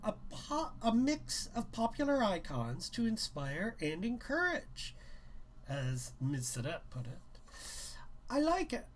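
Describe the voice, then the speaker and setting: A man's voice: sits high, very animated, measured pace, a little hiss or hum. Informal man reading his book aloud. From Canada. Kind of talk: informal live reading of a young adult novel.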